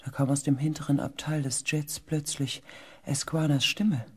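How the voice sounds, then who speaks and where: A woman playing an anxious, weary space expedition pilot, narrating a dramatic audio drama in a hushed, intense way. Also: low voice, fairly even intonation, moderate pace, slightly rough. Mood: hushed, uneasy. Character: anxious, weary expedition pilot recounting events, far future.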